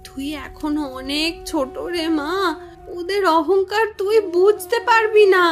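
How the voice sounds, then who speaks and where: A woman's voice: very high-pitched, expressive, unhurried, soft background music. A woman playing a poor village mother in a cartoon fairy tale, weary and tender, scolding out of worry in a plaintive way. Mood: tender, sad. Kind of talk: animated fairy tale dialogue.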